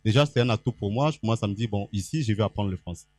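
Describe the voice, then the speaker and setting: A man's voice: on the low side, fast talker, darkish tone, no room sound. Male panel guest from Équateur, rambling and self-deprecating, dry mic. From Équateur province, DR Congo. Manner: chatty, self-deprecating, many fillers and restarts. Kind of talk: public panel talk. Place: event hall stage. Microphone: handheld microphone.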